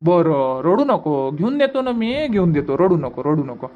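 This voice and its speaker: कार्टूनमधल्या मायाळू, पुन्हा पुन्हा समजूत काढणाऱ्या मामाला वैदर्भीय मराठीत आवाज देणारा पुरुष. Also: male voice, fairly high pitch, big pitch swings, unhurried, narrow, tinny audio. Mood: soothing. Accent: Vidarbha Marathi. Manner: repetitive, reassuring. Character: kindly village uncle, soothing and grumbling about stingy granny. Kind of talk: cartoon comedy dubbing.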